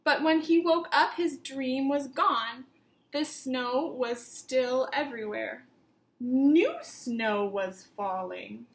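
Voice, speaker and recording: A woman teacher reading a picture book aloud to young children, with expressive emphasis and simple questions put to the listeners. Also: sits high, slow, echoey room. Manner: simple instructive phrasing, questions addressed to children.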